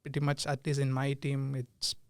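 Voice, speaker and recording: A young man from India, a podcast guest, answering in casual chat with pauses and frequent laughs. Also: mid-range voice, completely flat delivery, clean tone, no room sound, studio quality. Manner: hesitant, laughs often. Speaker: guest, team lead.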